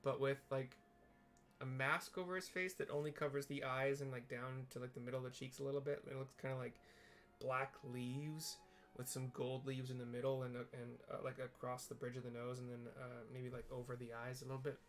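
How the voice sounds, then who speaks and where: A man's voice: mid-range voice, little pitch movement, brisk, faint music behind. A man giving casual, rambling game commentary with spoken scene descriptions and joking asides. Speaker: video game streamer.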